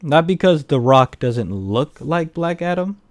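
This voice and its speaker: A man's voice: fairly high pitch, animated, measured pace. A man co-hosting a casual film and video game chat, speaking in an opinionated, blunt way. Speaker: podcast co-host reviewing films.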